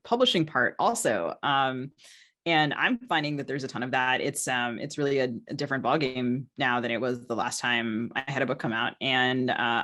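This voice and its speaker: A woman in casual podcast conversation as co-host and guest, wry and joking, pausing and hedging as she talks. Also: extremely low pitch, fairly bright tone. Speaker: podcast co-host and novelist being interviewed.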